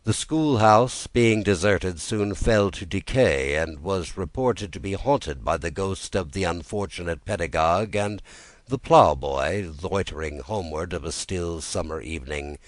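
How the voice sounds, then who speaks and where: Very deep-voiced man narrating a bedtime story. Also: no room sound. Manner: gentle, measured, literary storytelling. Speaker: audiobook narrator.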